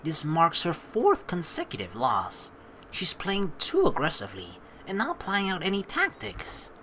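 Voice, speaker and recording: Bright, very animated let's play host. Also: mid-range voice, moderate pace, slight background noise. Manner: rambling, reactive, excitable. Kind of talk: game playthrough commentary with dramatic read-aloud. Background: game music.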